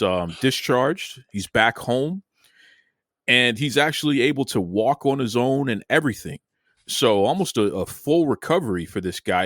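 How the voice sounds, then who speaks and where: A man's voice: mid-range voice, dry recording, clean studio sound. A man hosting a casual talk show, conversational and folksy, often stumbling over and repeating words. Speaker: podcast host. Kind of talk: casual chat.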